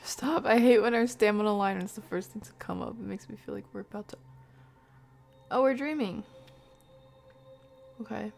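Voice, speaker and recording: A woman streamer giving casual, chatty horror game commentary, muttering to herself in a breathy voice that often drops to a whisper. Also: medium pitch, normal speed, pauses often, a little mellow, faint music behind.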